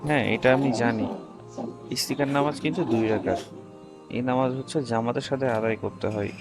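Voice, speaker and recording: A devout young male cartoon student, voiced by a man, talks to his friend. He is agreeable and earnest, with scripted lines at an average pitch. A music bed is clearly audible under the voice. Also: fairly even intonation, moderate pace, natural voice.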